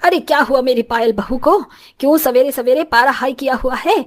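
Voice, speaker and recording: A woman's voice: high-pitched, normal speed, natural voice. A woman voicing a grumbling middle-aged mother-in-law in a moral story cartoon, full of exclamations and complaints.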